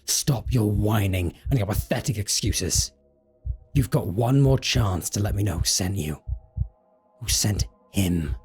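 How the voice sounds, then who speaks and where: A man's voice: lowish voice, lively intonation, a little slow, darkish tone, husky, exaggerated voice. A man playing a possessive, menacing, seductive vampire in a roleplay audio drama, speaking with theatrical, mock-tender threat. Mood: cold menace.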